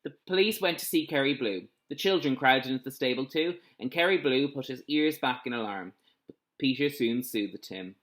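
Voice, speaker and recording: A man reading a children's story aloud like a teacher at storytime, in an animated, expressive way. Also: mid-range voice, moderate pace.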